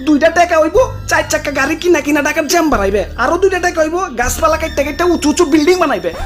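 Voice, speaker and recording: A man's voice: very high voice, animated, racing, a bit bright. A man voicing a touchy, boastful city come to life in a comic cartoon, scolding in exaggerated Dhaka dialect over music. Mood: defensive, boastful. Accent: Bangladeshi, Dhaka dialect.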